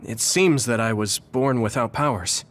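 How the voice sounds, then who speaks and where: An actor playing an earnest, modest young male rebel soldier in an anime game, speaking in a measured way. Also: medium pitch, close to an everyday voice. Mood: somber, resolved. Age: young adult. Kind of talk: anime game cutscene dialogue. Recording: studio voice over game music.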